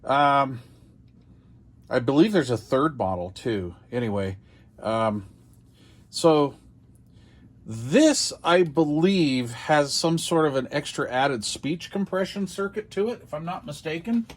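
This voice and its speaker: A man's voice: a little high, lively intonation, slow. An older American man chatting casually as he hosts a hobby unboxing video, rambling along in a folksy, self-deprecating way.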